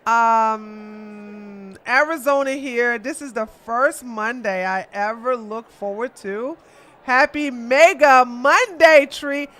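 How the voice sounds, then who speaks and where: A woman hosting a casual livestream, chatting with her viewers and reading their messages. She greets them with loud, high exclamations and laughs often as she teases her chat. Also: takes it slowly. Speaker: livestream host.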